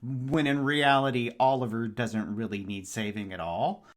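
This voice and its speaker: A man in a casual book discussion, explaining his thoughts in a measured way as a podcast panelist. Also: medium pitch, fairly even intonation.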